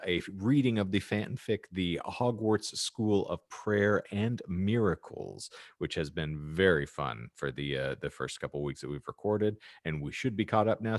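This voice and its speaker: A man with a very low voice co-hosts a casual podcast. He has a wry, self-deprecating manner and favors mock-grand wording. The sound is close and dry, with no room to it. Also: no room sound. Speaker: podcast host.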